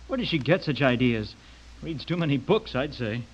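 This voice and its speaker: A man's voice: mid-range voice, sing-song, moderate pace, slightly rough. An actor playing a chatty, tactless middle-aged father and insurance salesman in a radio drama. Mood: amused, blunt. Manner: jovial, blunt, teasing. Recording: dull lo-fi radio recording.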